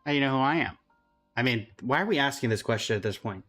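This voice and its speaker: A woman with a very deep voice chats casually on a game livestream. Her manner is laid-back, and faint music plays behind her. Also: animated, fast talker, a bit bright. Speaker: game streamer.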